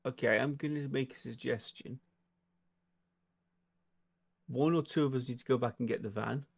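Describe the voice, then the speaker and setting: A man's voice: mid-range voice, moderate pace, gravelly, slightly rough, dull audio. A man playing a tabletop game, taking charge and proposing plans in casual chat.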